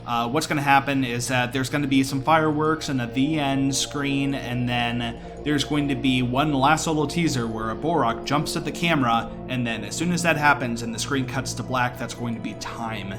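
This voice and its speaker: A man's voice: mid-range voice, moderate pace, hardly any pauses, over music. A man giving casual, explanatory live commentary on a video game as its speedrunner. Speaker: speedrunner commentating own run.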